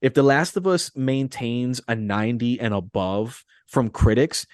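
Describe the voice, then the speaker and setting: A man's voice: mid-range voice, unhurried, dry recording, studio quality. A man chatting casually about movies as a podcast co-host, earnest and repeating phrases for emphasis.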